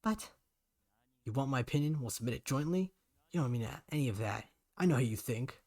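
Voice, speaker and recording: A man voicing a timid young woman scientist who pleads softly with her father in a game reading. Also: medium pitch, animated, fairly quick, lightly acted. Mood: upset. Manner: soft, pleading, eager to please.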